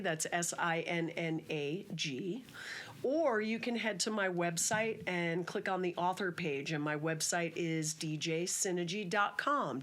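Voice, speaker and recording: A woman's voice: fairly low pitch, fairly bright tone. A woman chatting casually as an author being interviewed. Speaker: children's author, interview guest.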